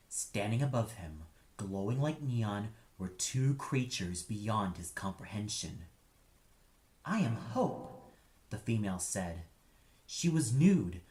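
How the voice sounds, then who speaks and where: A man narrating a dramatic audio story in a grave, deliberate manner. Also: on the low side, darkish tone.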